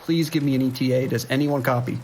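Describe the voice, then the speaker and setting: A man's voice: mid-range voice, steady tone, fast talker, a little mellow, a little hiss or hum, close to an everyday voice. A man playing a desperate police dispatcher under siege in a horror audio drama, talking in urgent, clipped, commanding emergency-radio style. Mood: urgent, pleading.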